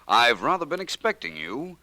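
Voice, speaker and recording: A man's voice: mid-range voice, very animated, moderate pace, bright, forceful tone, no echo. A man playing an urbane, witty, self-assured detective, suave and playfully pompous in 1940s radio drama style. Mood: amused, smug.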